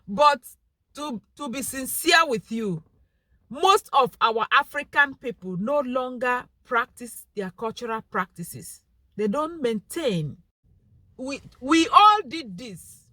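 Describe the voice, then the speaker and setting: High, lively Igbo-accented woman vlogger. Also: no echo. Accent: Nigerian Igbo. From Nigeria. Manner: earnest, pleading, emphatic. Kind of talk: direct-to-camera vlog monologue.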